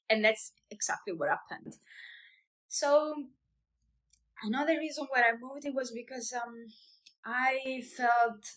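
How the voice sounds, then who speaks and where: A woman's voice: fairly high pitch, a bit bright, poor audio. A woman in her thirties from Italy with an Italian accent, speaking English as a second language, talking casually and hesitantly with fillers and restarts in her own vlog. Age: 30s. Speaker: vlogger, business owner.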